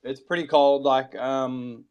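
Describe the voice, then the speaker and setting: A man's voice: mid-range voice, fairly even intonation, slow, darkish tone, clean tone, highs cut off. Man, laid-back, laughs often, casual chat, video call. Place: at home computer, early morning.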